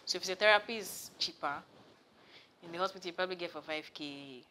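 A young woman with a Nigerian accent, talking in an interview on a studio set. Her voice sits low but sounds bright and forward. She tells her stories with animated bursts, and there is a faint hiss in the background. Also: fast talker. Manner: animated storyteller, rises loud and high when excited. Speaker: massage therapist, guest. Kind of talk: casual interview chat. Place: studio set with sofa, indoors.

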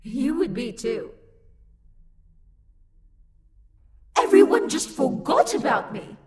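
A woman's voice: high-pitched, sing-song, normal speed. A woman playing a haughty, sassy, livid female demon in a dramatic roleplay monologue, indignant and imperious. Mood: annoyed, sulky. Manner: indignant, imperious, loud outbursts. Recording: long reverb, music behind the voice. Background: music.

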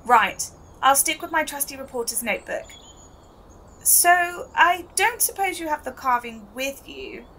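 High-voiced, keen newspaper reporter. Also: female voice, expressive, measured pace, pushed and bright, slight background noise, heavily acted. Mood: curious. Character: keen, polite, persistent young female newspaper reporter. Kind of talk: audio drama acting.

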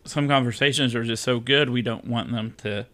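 A man's voice: medium pitch, moderate pace, sharp tone. A man from the American South, a podcast guest talking candidly and with self-deprecating humor, using many fillers. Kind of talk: casual interview chat.

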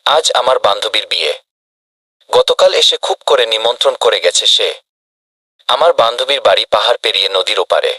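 A man voicing a talkative, friendly but anxious rabbit with a husky voice in a children's cartoon. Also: lowish voice, lively intonation, a little fast, sharp tone, no echo. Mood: downcast. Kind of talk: dubbed children's cartoon dialogue.

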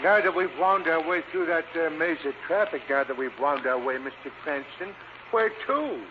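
Casting: male actor as folksy, rambling cabbie, highish voice, vintage radio crime drama, thin phone-like sound. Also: normal speed, close to an everyday voice. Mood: chatty. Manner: rambling, repeats himself. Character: chatty, folksy city cab driver, loyal and blunt. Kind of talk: 1940s radio acting. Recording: tinny, noisy old radio recording.

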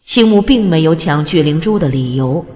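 A woman's voice: low voice, animated, normal speed, warm tone. A woman reading scripted narration of a fantasy audiobook in a dramatic storytelling style. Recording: long hall reverb.

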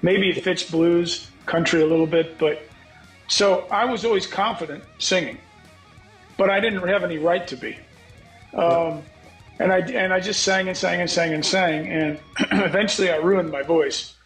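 A middle-aged man with a scratchy voice answers in a frank, reflective way and swears now and then. His voice sits a little high. The call audio sounds echoey, as if he is in a bare room at home. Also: normal speed, fairly bright tone, faint music behind. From United States. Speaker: guest songwriter. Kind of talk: candid interview talk.

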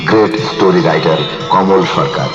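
A man's voice: deep voice, normal speed. A man giving a grand, declamatory scripted film announcement, heard from cassette playback. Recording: dull echoey cassette playback, loud music.

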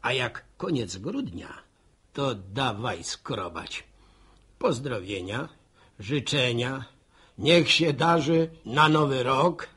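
An actor playing a jovial, folksy village postman in a radio drama, chatty and husky. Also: medium pitch, animated, slow pace, fairly bright tone, heavily acted. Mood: genial, folksy. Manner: chatty, folksy, chuckling storyteller. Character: jovial, folksy village postman giving New Year wishes.

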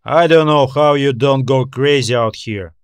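A man's voice: medium pitch, a little slow, smooth, no room sound, natural voice. A man voicing a grumbling, teasing male customs inspector in audiobook dialogue, with a wry manner.

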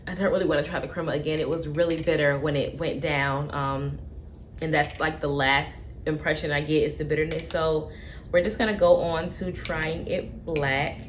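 A young woman with a slightly low voice talks through a coffee tasting in her kitchen, relaxed and unhurried, often pausing on fillers. The recording comes from a camera set in front of her, with a faint hiss in the background. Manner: relaxed, thinking aloud with many fillers. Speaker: coffee vlogger. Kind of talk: casual tasting commentary. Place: home kitchen, indoors.

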